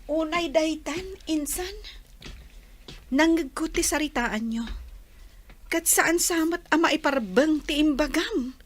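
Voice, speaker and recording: An actress playing a gentle, apologetic young village woman in a radio drama, with a northern Philippine accent. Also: sits high, slow, pauses often. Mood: reproachful.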